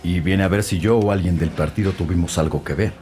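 A man acting as a dismissive party official in an audio drama. He speaks in a very low voice, formal, clipped and guarded, over clearly audible music. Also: brisk, mellow, close to an everyday voice. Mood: defensive, dismissive. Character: curt, dismissive male political party official.

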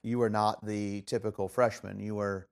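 Guy interviewing his old student, lowish voice, lots of restarts and "yeah"s. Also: flat delivery, gravelly, clean tone. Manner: agreeable, frequent backchannels, halting restarts. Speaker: interviewer, former college teacher. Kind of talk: conversational interview.

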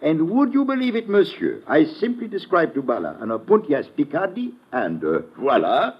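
Through a dull old radio recording comes a French botanist, polite and formal. His fairly high voice swings expressively, with a thick French accent and small hesitations. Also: wildly swooping pitch, moderate pace, slightly rough, heavily acted. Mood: courteous. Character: courteous French botanist living in the jungle. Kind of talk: 1950s radio adventure acting.

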